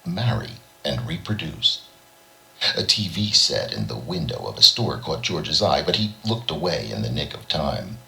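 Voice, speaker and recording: A male narrator with an extremely low, gravelly voice reads a short story dramatically. His delivery moves widely in pitch. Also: sing-song, moderate pace, hardly any pauses, sharp tone, rough recording. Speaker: audiobook reader.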